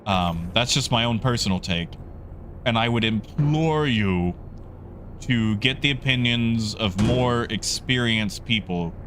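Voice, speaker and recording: A man with an average-pitched voice hosts a casual livestream. He rambles conversationally, turning to individual viewers as he goes. There is clear ambient noise behind his voice. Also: expressive, a little slow. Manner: rambling, conversational, addresses chatters by nickname. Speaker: livestream host.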